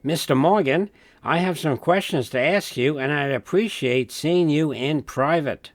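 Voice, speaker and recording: A man's voice: fairly high pitch, a little slow, dry recording, voice pushed up, natural voice. A man playing a courteous, patient census enumerator, explaining formally in a scripted training-film role play. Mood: polite, earnest.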